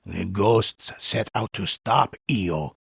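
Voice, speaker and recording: An actor playing an old, forgetful storyteller in a video game, telling samurai ghost tales in a husky, gravelly, theatrical and ominous way. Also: male voice, fairly low pitch, slow pace, exaggerated voice. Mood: grave, dramatic.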